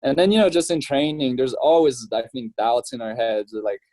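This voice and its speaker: A relaxed young man from France talks through his story in a loose, chatty way, full of fillers and easy laughter. His voice sits at an ordinary middle pitch, and the recording is close and dry with no room around it. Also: normal speed, no room sound. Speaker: professional triathlete, guest. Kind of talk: casual interview chat.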